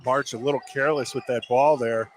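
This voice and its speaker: Faint gym noise sits behind a man with a fairly high voice. He is the color commentator on a basketball broadcast, adding conversational analysis between plays. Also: fairly even intonation, a little fast. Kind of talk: conversational live sports analysis.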